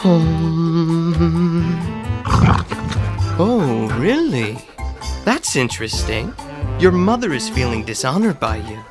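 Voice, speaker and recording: A woman's voice: sits low, sing-song, takes it slowly, pauses often, over music, full character voice. A woman playing a pompous, entitled fake mystic with a theatrical manner in a comic audio drama. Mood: grandly theatrical. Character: pompous, entitled island mystic, a fraudulent grandiose oracle.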